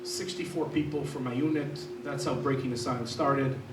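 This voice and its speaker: Man in his 30s from Israel, guest speaker, halting delivery with many fillers, recorded from a distance in a roomy hall. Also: mid-range voice, fairly even intonation, background noise. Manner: hesitant, many fillers and restarts. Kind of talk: public talk. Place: lecture hall. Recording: echoey hall from far off.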